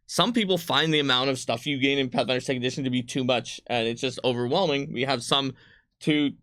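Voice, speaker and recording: A man's voice: mid-range voice, quick pace, a bit bright, dry recording, studio quality. A man speaking as a game designer in a casual livestream chat, explaining rules in a rambling, detailed way. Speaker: game designer answering viewer questions on a livestream.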